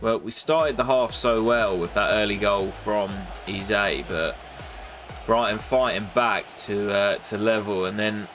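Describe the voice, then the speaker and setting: A young man with a nasal voice from a cold, commentating his football game in a casual play-by-play that jumps up excitedly at big moments. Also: a little slow, faint music behind. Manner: excitable, bursts up in pitch at goals and misses. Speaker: gaming YouTuber commentating his career mode.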